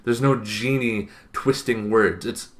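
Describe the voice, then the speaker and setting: A young adult man giving an informal explainer to camera as a host, talking in an animated, conversational way and thinking aloud with asides. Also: on the low side, takes it slowly. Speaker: host of a tabletop roleplaying advice channel. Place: small bedroom, indoors.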